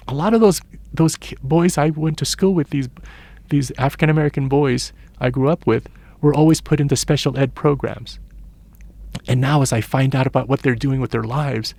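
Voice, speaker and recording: A man's voice: on the high side, gravelly, darkish tone, no echo. A man in his sixties, originally from the Philippines, giving thoughtful, earnest interview answers as an education professor and telling stories from his teaching. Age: 60s.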